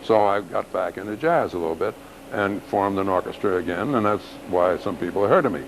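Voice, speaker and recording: An older man in his seventies with a low, unhurried voice tells rambling, self-deprecating stories about his years as a clarinetist. He talks casually as a guest. Faint backstage noise sits under his voice. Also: poor audio. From New York City. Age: 70s. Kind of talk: casual interview.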